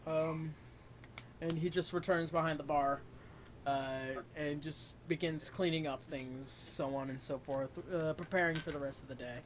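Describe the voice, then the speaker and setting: A man narrating a tabletop game as game master, relaxed with many fillers. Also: a little high, normal speed, background noise.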